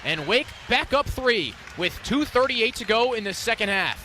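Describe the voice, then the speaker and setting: A man's voice: high voice, big pitch swings, moderate pace, bright, forceful tone, a little hiss or hum. An American man working as a sports announcer and reporter, with a broadcast delivery. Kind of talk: play-by-play, interviewing and scripted reporting.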